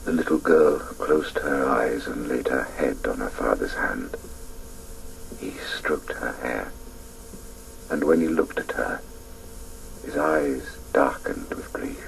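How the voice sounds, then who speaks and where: A man's voice: a bass voice, slow, vocal fry, long pauses, full character voice. An actor playing a reflective man who recalls his childhood, narrating solemnly and hesitantly in a radio drama. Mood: sombre. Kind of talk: dramatic first-person narration. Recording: distant, faintly noisy radio recording.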